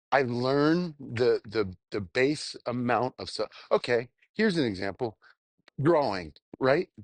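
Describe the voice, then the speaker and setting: A man's voice: medium pitch, dry recording. Man rambling casually, dry close recording. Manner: self-deprecating, halting, crude swearing. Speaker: vlogger. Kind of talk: casual rambling spoken journal.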